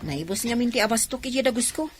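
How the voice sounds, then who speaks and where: An actress plays a chatty young female customer. Her high voice has radio drama delivery over light background music. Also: animated, fast talker, natural voice. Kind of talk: Ilocano radio drama acting.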